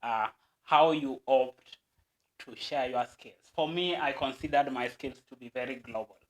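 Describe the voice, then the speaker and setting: A man's voice: mid-range voice, measured pace, poor audio. A Kenyan man, a guest, explaining a process earnestly and at length, with stammered restarts.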